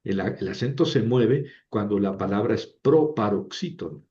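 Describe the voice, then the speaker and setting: A man's voice: on the low side, expressive, moderate pace, mellow. A man teaching a language lesson, explaining patiently and often checking with "¿verdad?". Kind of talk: lecture. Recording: dull lo-fi recording.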